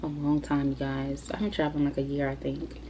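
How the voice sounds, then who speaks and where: A woman's voice: deep voice, flat delivery, fast talker, mellow, lo-fi sound, a little hiss or hum. A woman in her twenties chatting casually to the camera for her video Q&A, rambling with lots of fillers. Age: 20s. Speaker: YouTuber. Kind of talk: casual Q&A vlog.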